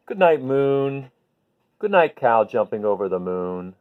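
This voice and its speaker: A man hosting a children's bedtime read-aloud, talking gently and simply to viewers in an unhurried way. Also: medium pitch, big pitch swings, clear, resonant tone. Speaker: host reading picture books to children. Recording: phone-like narrow-band sound.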